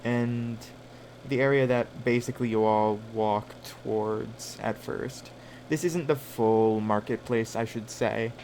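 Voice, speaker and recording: A man running a tabletop game as game master, improvising scene descriptions in a halting way as he searches for words. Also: mid-range voice, fairly even intonation, a little mellow, a little hiss or hum.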